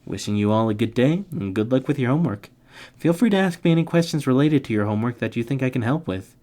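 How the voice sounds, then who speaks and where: A man's voice: mid-range voice, expressive, fast talker, mellow. A man reading a scripted narration in a playful, tongue-in-cheek way, slipping slangy comic asides into it. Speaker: narrator of literature summary videos.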